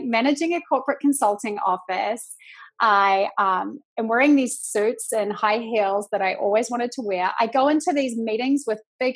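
A woman's voice: a little high, breathy edge. A woman in her thirties from New Zealand, with a New Zealand accent, talking reflectively and chattily as a podcast guest, with many fillers. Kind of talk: casual podcast conversation.

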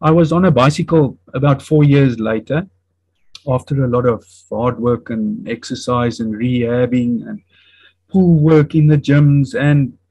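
Man, 50s, Afrikaans accent, English as second language, earnest testimony, halting restarts, slightly high voice, dry close sound. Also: dark tone, dry recording, highs cut off. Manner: halting, searching for words, frequent restarts; earnest and reflective. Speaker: interview guest. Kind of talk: recounting a personal testimony.

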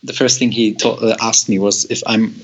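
A man's voice: on the low side, little pitch movement, moderate pace, breathy edge. Man, young adult, hesitant storyteller, muffled lo-fi sound. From Germany. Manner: hesitant, repeats words, laughs often. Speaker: guest. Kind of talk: storytelling in an interview.

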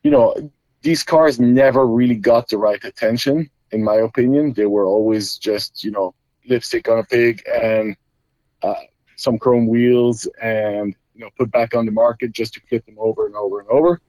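A man's voice: medium pitch, fairly even intonation, normal speed, a little mellow, dry recording, low-quality recording. A middle-aged man from Belgium, a guest explaining his custom Jeep builds in casual talk, with many fillers and restarts.

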